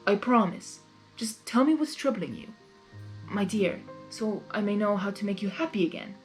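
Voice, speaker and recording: A woman's voice: medium pitch, animated, normal speed, natural voice, rough recording. Storybook fanfic role: male chimera trickster read by a woman, theatrical, teasing, grandly affectionate, music behind. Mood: concerned. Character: flamboyant male chimera chaos lord, teasing yet caring.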